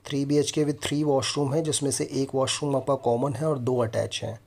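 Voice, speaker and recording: An Indian man in his thirties hosts a property tour video. He explains features directly to the viewer in the manner of a consultant, with a medium-pitched voice. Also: little pitch movement, moderate pace, creaky, darkish tone. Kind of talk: property walkthrough presentation mixing Hindi and English.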